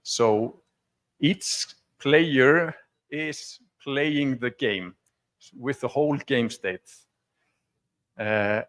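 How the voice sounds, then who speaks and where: A man's voice: mid-range voice, a bit bright. A man from Iceland, speaking as a conference presenter in an informal, explanatory and conversational way during a technical demo talk. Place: conference stage before an audience.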